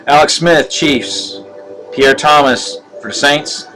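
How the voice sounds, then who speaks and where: Monotone man hosting a card break. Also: a little high, poor audio. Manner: monotone, halting with many fillers. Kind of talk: casual live-stream commentary. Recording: live stream with music behind. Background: music.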